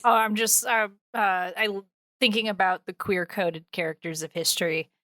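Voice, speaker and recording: A woman talking casually as a podcast co-host, in relaxed conversational language. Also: medium pitch, normal speed, dry recording. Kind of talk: casual chat.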